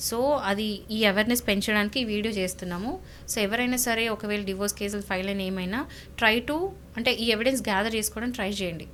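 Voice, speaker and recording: A woman's voice: mid-range voice, fairly even intonation, quick pace, fairly bright tone, slight background noise. A woman with a Telangana Telugu accent explaining legal advice conversationally as an expert, emphatic, repeating points and asking rhetorical questions. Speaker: lawyer, guest legal expert.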